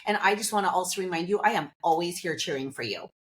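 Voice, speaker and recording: A woman's voice: lowish voice, fast talker, fairly bright tone. A woman hosting a podcast, talking to listeners in a casual, upbeat and chatty motivational monologue, seated indoors. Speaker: podcast host.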